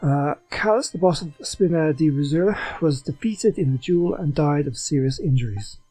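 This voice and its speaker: A man's voice: on the high side, lively intonation, moderate pace, warm tone, slightly rough, soft background music, poor audio. A man doing a casual video game playthrough, reading in-game text aloud.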